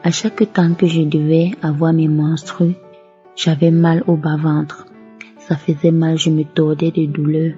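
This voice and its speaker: A woman's voice: low voice, little pitch movement, measured pace, warm tone. A devout woman giving a religious testimony and offering thanks. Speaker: testimony giver. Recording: muffled lo-fi audio over music. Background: background music.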